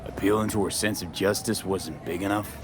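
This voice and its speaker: A man's voice: low-pitched, steady tone, fairly quick, noisy background, lightly acted. An actor playing a tough, pragmatic male street mercenary in a video game, speaking in a terse, matter-of-fact way. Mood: dry, teasing. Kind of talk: naturalistic video game dialogue.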